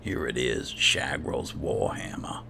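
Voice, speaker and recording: A man giving casual, low-key roleplay commentary as he hosts a video game playthrough, musing as he goes. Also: lively intonation, slow, sharp tone. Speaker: gaming host. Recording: clearly noisy, game ambience behind.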